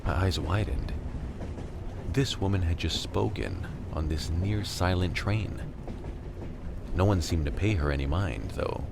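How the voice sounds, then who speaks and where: A man acting as a jaded scavenger narrating his life in a broken world. His voice sits extremely low, with a gravelly fry. He tells the radio drama story in a wry, world-weary, matter-of-fact way. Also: unhurried, long pauses, heavy background noise. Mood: wry, reflective. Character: jaded male scavenger in a silent post-virus America, narrating.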